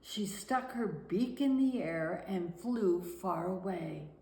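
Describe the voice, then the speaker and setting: A woman reading a children's picture book aloud with deliberate, expressive storytelling. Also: lowish voice. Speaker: guest storyteller. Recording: roomy, echoing room sound.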